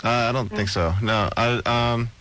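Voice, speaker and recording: A man guesting on a radio show as a musician, answering callers in a laid-back, halting way. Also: medium pitch, steady tone, pushed and bright. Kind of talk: casual radio interview.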